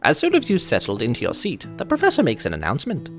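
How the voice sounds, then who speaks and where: A man co-hosting a game playthrough, switching between casual chat and animated story narration. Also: medium pitch, fast. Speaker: gaming video co-host and narrator. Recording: music behind.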